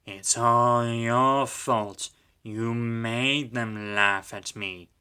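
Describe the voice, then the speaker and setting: A man's voice: mid-range voice, animated, takes it slowly. A man voicing a scheming male diesel engine in a storybook, with an oily, sly way of talking. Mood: sulky. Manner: sly, sniggering.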